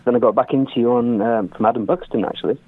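A man's voice: medium pitch, steady tone, fast talker, a crowd in the background. A man calling in to a radio show, talking in a hesitant, friendly way. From Manchester, England. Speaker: caller. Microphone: telephone. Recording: phone-line call.

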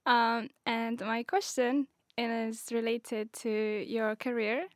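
A woman's voice: fairly high pitch, steady tone, slow pace, no room sound, studio quality. Hesitant young-sounding woman asking questions. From Morocco. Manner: hesitant, many ums. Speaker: audience member asking a question.

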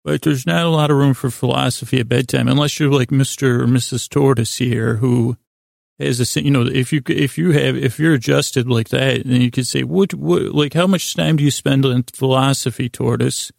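A man hosting a bedtime podcast in a creaky, gravelly voice, rambling and halting, repeating himself and wandering into absurd asides. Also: medium pitch, steady tone, a little mellow, dry recording, clean studio sound. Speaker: podcast host. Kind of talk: rambling bedtime monologue to help listeners sleep.

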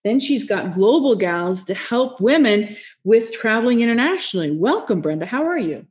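A Norwegian-born woman hosting an interview podcast, bilingual, with enthusiastic, quick exclamations. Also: a little high, sing-song, darkish tone. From Norway. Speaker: podcast host.

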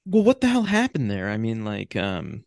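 A man talking casually as a podcast guest, frank and informal, with halting phrasing. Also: lowish voice, wildly swooping pitch, gravelly, a little mellow, dry recording, studio quality.

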